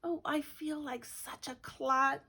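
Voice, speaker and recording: A woman's voice: sits high, fairly even intonation, takes it slowly, bright, forceful tone, exaggerated voice. Gerald, a shy clumsy giraffe, read by a woman. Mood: dejected.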